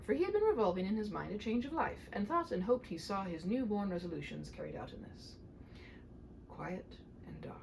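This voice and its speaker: Woman reading a story out loud, really lively pitch, chatty asides, bit of background noise. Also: vocal fry. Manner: animated, chatty when stepping out of the text. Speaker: audiobook reader and host of the channel. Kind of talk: expressive storybook read-aloud with casual asides.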